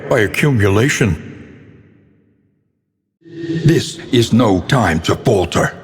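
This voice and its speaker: Deep, brooding gothic narrator, cavernous reverb. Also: male voice. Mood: measured, cold, then ominous, grave. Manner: solemn, ornate, deliberate, theatrical. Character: grave, brooding male narrator of a gothic horror game. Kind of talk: scripted dramatic game narration in gothic prose. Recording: long reverb tail on the voice.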